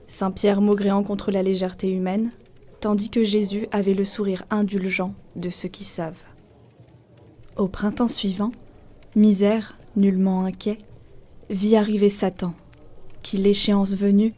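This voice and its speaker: A woman's voice: medium pitch, warm tone. A woman narrating a folk tale as a scripted audio story. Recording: faint music behind. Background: faint music.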